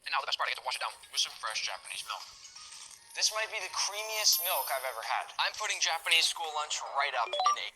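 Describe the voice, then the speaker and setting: A man's voice: fairly high pitch, very animated, fast talker, over music, low-quality recording. A man hosting a food video, explaining and tasting dishes with enthusiasm. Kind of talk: upbeat food tasting presentation.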